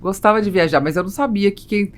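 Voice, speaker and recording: A woman's voice: mid-range voice, fast, over a noisy crowd. A Brazilian woman hosting a podcast interview, chatting casually and interjecting short reactions. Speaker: podcast host interviewing guests.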